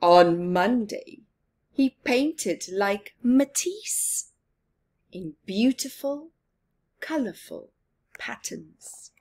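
A woman storyteller in her forties reads to young children with a husky, breathy voice. She goes very slowly, leaving dramatic pauses, and her pitch moves in lively swings. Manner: deliberate, with dramatic pauses and emphasis. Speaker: storyteller reading picture books to children. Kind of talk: expressive read-aloud with asides to young viewers. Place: small living room at home.

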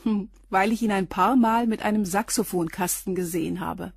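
Radio crime drama: a guarded concierge, slightly husky, dry recording. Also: female voice, mid-range voice, normal speed, dry recording. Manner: guarded, short replies. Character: guarded neat concierge, about forty. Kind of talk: radio play acting.

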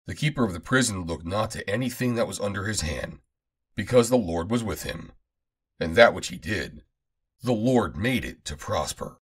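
A man reading the Bible aloud as scripted narration, in a measured, declamatory manner, phrase by phrase. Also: lowish voice, animated, breathy edge. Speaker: Bible reader.

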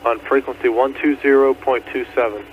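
A man's voice: medium pitch, little pitch movement, fairly quick. A man from an air traffic control center making an operational phone call. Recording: tinny, faintly noisy phone line.

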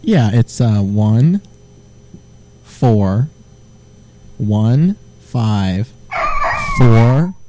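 A man making a prank phone call, deadpan and provocative, drawing the agent along. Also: fairly low pitch, extremely slow, pauses often, noisy background. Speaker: prank caller.

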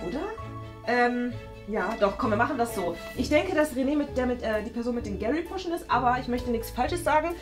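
A young woman with a fairly high voice chats casually and ponders out loud in a playful, rambling way. She hesitates often as she talks her way to a guess. Some music plays behind her. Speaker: host and contestant guessing in a game. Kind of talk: casual chat, thinking aloud. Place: small indoor studio room. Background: background music.

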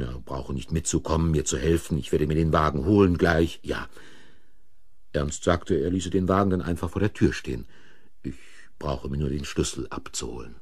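Radio thriller lead: a bewildered, anxious husband caught in a crime, very deep and rough-edged. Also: male voice, a little mellow, dry recording, clean studio sound. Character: anxious, bewildered married man framed for murder. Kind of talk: radio play acting with first-person narration.

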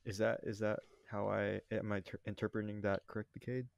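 A man with a lowish voice chats casually as a podcast co-host. His delivery is halting as he searches for words, and the sound is very dry. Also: level tone, vocal fry, no echo. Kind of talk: casual chat.

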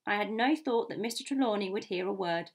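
Storybook sea captain, played by a woman reader: stern, gruff man, curt and emphatic, formal in his speech. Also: mid-range voice, brisk, close to an everyday voice. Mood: stiff, resolute. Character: gruff, blunt, stern male ship's captain. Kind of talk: audiobook character voice.

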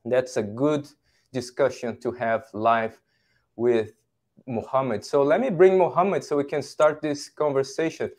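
A man in his forties hosts a livestream from a home office, talking over a video call. His voice sits at an ordinary middle pitch, and he sounds friendly and welcoming, with small restarts as he speaks. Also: a little mellow. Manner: friendly, welcoming, slightly halting. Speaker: host. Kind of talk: livestream interview.

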